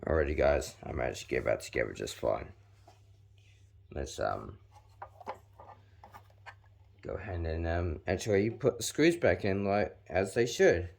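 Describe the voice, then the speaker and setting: A 19-year-old New man forcing his voice low on purpose, so it comes out very deep with a gravelly rasp. He talks casually and loosely through a hands-on task, with plenty of fillers. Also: lively intonation. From New Zealand. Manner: deliberately putting on an extra-deep voice; chatty, filler-heavy, talks while working with his hands. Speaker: vlogger. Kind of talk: casual how-to narration while working.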